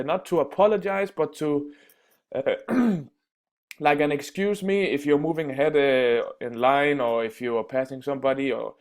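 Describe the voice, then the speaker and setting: Man, gravelly voice, mid pitch, halting, explaining everyday phrases. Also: darkish tone. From Denmark. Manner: halting, many fillers and restarts. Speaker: language teacher. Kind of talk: casual spoken lesson.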